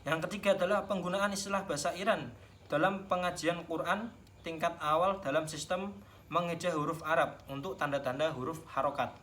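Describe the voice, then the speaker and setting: A man's voice: on the high side, steady tone, fairly quick, fairly bright tone, slight background noise. A young man with a Javanese accent, speaking as a student teacher giving classroom instruction.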